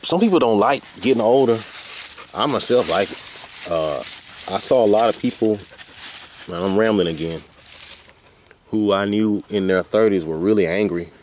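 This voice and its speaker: A man's voice: fairly low pitch, normal speed, pauses often, darkish tone. A man of about forty, rambling casually in a folksy, colloquial way as he vlogs outdoors. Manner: folksy, colloquial, drifting into asides to his dogs. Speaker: vlogger. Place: outdoors on a hike. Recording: noisy outdoor ambience.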